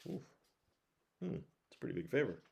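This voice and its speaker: Man, children's storybook reader, lively swooping pitch, creaky voice, conversational asides, expressive. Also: fairly quick. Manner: expressive, animated, addresses young listeners with questions.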